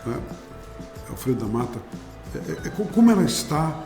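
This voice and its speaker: A man's voice: fairly high pitch, very animated, normal speed, darkish tone, echoey room, soft background music. A man hosting a TV interview, asking questions respectfully with hesitations and restarts. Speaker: TV interview host.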